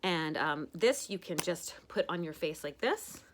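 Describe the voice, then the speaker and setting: A woman's voice: low voice, lively intonation, unhurried, slight background noise. A woman hosting a casual at-home makeup tutorial, chatty and rambling with many fillers.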